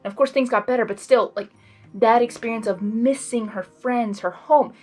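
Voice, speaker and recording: A woman reads a story aloud and adds expressive, rambling casual reactions. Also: fairly high pitch, normal speed, faint music behind. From Hungary. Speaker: fan fiction reader and commentator. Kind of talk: blind reading with casual reaction commentary.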